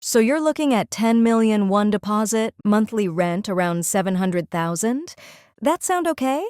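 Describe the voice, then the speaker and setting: A woman acting a chatty real estate agent in an audio drama, pitching a rental in an upbeat, salesy way. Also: lively intonation, moderate pace, darkish tone, clean tone, no echo, studio quality, close to an everyday voice. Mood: upbeat, persuasive.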